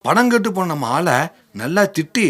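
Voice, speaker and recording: A man playing a loyal, excitable servant in a Tamil radio drama, speaking deferentially and emphatically. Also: high-pitched, moderate pace, heavily acted. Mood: deferential, indignant.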